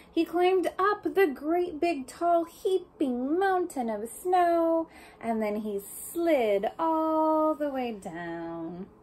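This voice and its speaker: Female storybook reader for small kids: slow, very animated delivery with engaging questions, noticeable background noise. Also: high voice. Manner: expressive, questioning the listener, speaking as to small children. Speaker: storybook reader for young children. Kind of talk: read-aloud of a picture book with asides and questions to children.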